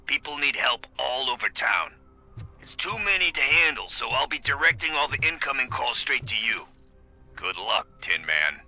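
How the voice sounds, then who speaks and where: A man's voice: mid-range voice, big pitch swings, moderate pace, gravelly, pushed and bright, soft background music, full character voice. A man playing a cheeky, familiar police officer relaying emergency calls in a video game. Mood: harried, wry. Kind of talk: video game cutscene acting.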